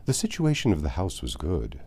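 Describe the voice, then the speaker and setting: A man narrating a classic novel aloud in a measured, expressive storybook manner. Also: deep voice, breathy edge. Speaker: audiobook narrator. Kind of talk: literary audiobook narration.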